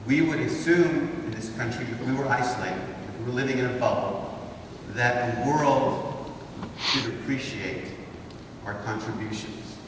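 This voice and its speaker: A man's voice: mid-range voice, unhurried. A man in his fifties, originally from Honduras, giving a lecture to students in a large hall and posing rhetorical questions to the audience. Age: 50s. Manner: rhetorical, asks the audience to think. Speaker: guest speaker. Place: large wood-paneled hall, indoors. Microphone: far camera, no mic seen. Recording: echoey hall from far off, noisy.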